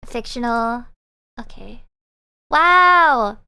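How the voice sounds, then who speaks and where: A bubbly young woman streamer wraps up her session in loose, rambling chatter, filling the gaps with ums and likes. Her voice sits high and smooth. The sound is dry and close to the mic. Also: expressive, drawn-out words, bright, forceful tone, no room sound. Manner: bubbly, rambling with many fillers. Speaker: VTuber streamer. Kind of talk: casual live-stream chat.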